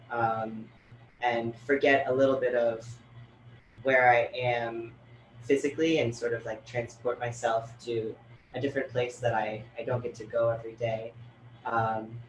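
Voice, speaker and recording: Slow, thoughtful man in an echoey room. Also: mid-range voice, slight background noise. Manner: thoughtful, loose, many fillers. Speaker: writer and fellow in a panel conversation. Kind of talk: reflective casual conversation.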